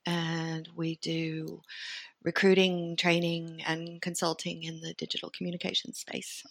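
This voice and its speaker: A woman's voice: fairly low pitch, monotone, measured pace, creaky, a bit bright, no room sound. A middle-aged American woman chatting as an interview guest, talking freely and thinking aloud with fillers. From United States and Sweden. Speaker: interview guest, company founder. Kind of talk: casual conversational interview.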